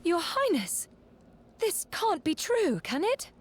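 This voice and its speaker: A woman's voice: extremely high pitch, big pitch swings, takes it slowly, heavily acted. An actress playing a courteous young noblewoman in a video game, dignified, earnest and formal. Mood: dismayed. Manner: polite, formal. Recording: very loud music behind. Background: loud game music.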